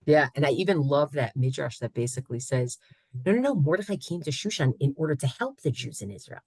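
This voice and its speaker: A woman teaching a Torah class on a video call, lively and asking "right?" often. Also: very deep voice, a little fast, husky, no echo.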